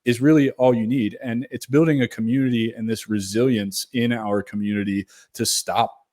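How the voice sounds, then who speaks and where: A male podcast host talks earnestly and thoughtfully about service. His voice is at an ordinary middle pitch, recorded dry and close with no room sound. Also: steady tone, normal speed, a little mellow, no echo. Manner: earnest, reflective. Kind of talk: casual podcast conversation.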